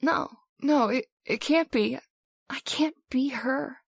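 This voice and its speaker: A woman playing a frantic, possessed young wife with halting, pleading delivery in an old-time radio horror drama. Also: sits high, gravelly, husky, dry recording, clean studio sound, heavily acted. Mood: horrified.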